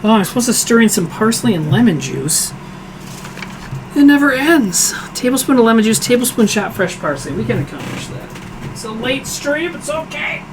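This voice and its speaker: A man with a hoarse voice talks casually through a home cooking livestream, joking at his own expense and answering viewers' questions as he goes. A steady air conditioner hum sits clearly under his voice in the kitchen. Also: very high voice, normal speed. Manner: chatty, self-deprecating, answers chat aloud while cooking. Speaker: streaming cooking show host.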